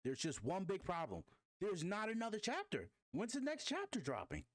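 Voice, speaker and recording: A man acts out a blunt, emphatic manager from a webcomic, exclaiming at his writer. The delivery swings widely in pitch, recorded close and dry. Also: huge pitch swings, normal speed, dry recording, heavily acted. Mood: worked up. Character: loud, blunt male manager teasing his novelist.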